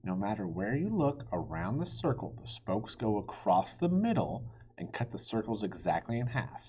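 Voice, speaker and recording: A man reading a children's story aloud with expressive narration. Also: fairly low pitch, moderate pace, slightly rough, muffled recording. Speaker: storybook reader.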